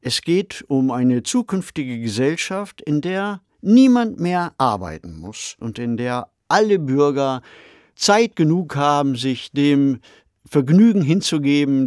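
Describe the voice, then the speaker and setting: Animated male podcast host. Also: a little high, dry recording, studio quality. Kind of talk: introducing a radio play, conversational presenting.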